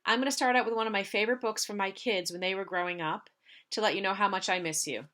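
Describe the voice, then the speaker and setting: Woman, school storyteller, mid-range voice, children's read-aloud, drawn-out gentle refrains. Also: medium pitch. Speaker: school staff member reading stories to pupils.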